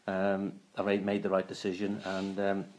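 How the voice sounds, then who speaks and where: A man in his late fifties from Wales, a former footballer, talking through his career in a casual interview, often pausing and restarting his sentences. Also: sits low, completely flat delivery, a little mellow, a little hiss or hum. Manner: halting, many restarts and fillers.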